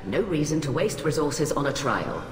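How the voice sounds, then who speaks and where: An actress playing a cold, haughty alien admiral in a video game, speaking in a clipped, formal, condescending way. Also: female voice, low voice, big pitch swings, moderate pace, a little hiss or hum. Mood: cool disdain. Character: cold, haughty alien admiral, female, middle-aged scientist, ruthlessly rational. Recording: echoey hall reverb, music behind.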